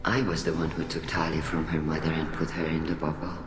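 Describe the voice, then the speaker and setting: An actor playing a weary, fatherly older alien admiral in a video game, speaking in a measured, formal way. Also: extremely low pitch, little pitch movement. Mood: warm reminiscing. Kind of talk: video game dialogue acting. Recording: echoey hall reverb, music behind.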